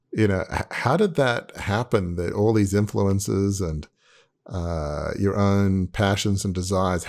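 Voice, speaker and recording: A man's voice: on the low side, gravelly, a little mellow. A man in his sixties hosting an interview, asking long rambling questions with many fillers over a video call. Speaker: podcast host. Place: home office. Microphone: earbuds on a video call.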